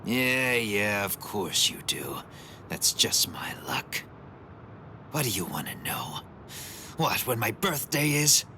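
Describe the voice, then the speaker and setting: An actor playing a proud, brash wounded fighter in a video game, with a gruff, mocking and dramatic delivery. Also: male voice, mid-range voice, sing-song, slow pace, pushed and bright, a little hiss or hum, full character voice. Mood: grudging. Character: brash wounded young male warrior, proud and scornful. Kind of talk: English video game voice acting.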